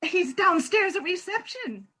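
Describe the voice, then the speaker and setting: Woman doing earnest distressed mum in a stage farce, high bright voice, explaining everything dramatically. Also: animated, unhurried, full character voice. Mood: pleading. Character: distressed unmarried mother, a nurse, pleading earnestly. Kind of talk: comic farce readers theatre.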